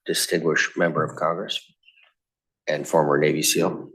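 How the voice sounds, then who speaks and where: Very deep-voiced meeting chair. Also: male voice, level tone, a bit bright, husky, rough recording. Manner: brisk, formulaic recognitions. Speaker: committee chair. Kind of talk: formal meeting procedure. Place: hearing room.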